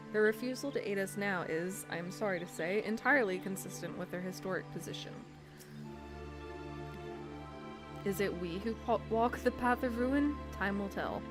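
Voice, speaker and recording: A woman's voice: mid-range voice, lightly acted. Woman reading as a solemn, eloquent young scholar. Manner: measured, formal, earnest. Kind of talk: reading game dialogue aloud in character.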